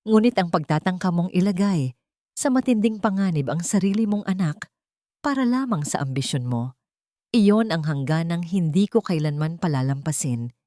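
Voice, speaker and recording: A Filipino-accented woman voicing a vengeful wronged wife in an audio drama, firm, deliberate and authoritative. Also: on the low side, lively intonation, mellow, no echo, clean studio sound, close to an everyday voice. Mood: stern, accusing. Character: commanding, vengeful wronged wife turned corporate owner.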